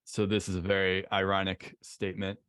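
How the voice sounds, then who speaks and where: A man co-hosting a webinar, relaxed and halting, filling his talk with "like" and "basically" as he reads slides. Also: sits low, clear, resonant tone, no room sound, clean studio sound. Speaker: podcast co-host.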